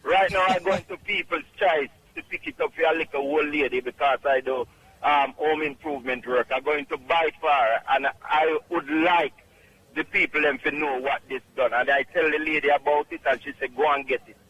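A middle-aged man from Jamaica with a Jamaican accent, calling in to a radio show and speaking in an emphatic, halting, repetitive way. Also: a little high, fairly even intonation, a bit bright, muffled recording. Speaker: caller. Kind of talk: radio call-in testimonial.

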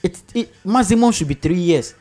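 A man with a Ghanaian accent hosting a radio interview, giving animated advice and often repeating himself. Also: high voice, normal speed, faint music behind. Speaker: radio host. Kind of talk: casual interview chat.

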